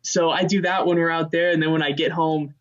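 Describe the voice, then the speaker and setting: A young man, a guitarist, chatting casually as an interview guest in a relaxed, easygoing way, often saying man and dude. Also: brisk, lo-fi sound. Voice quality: high voice. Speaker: touring session guitarist, guest.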